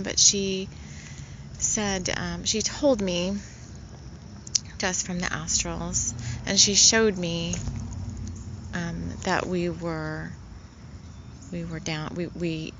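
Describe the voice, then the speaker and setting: Slow, soft-spoken woman healer. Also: fairly low pitch, background noise. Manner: rambling, soft-spoken, many fillers. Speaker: spiritual healer. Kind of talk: casual spiritual talk.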